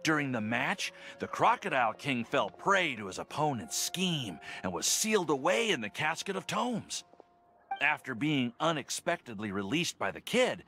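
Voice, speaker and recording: A man's voice: on the high side, pushed and bright. An actor playing a friendly, nerdy young male alchemist in a video game, chatty, eager and a little sheepish.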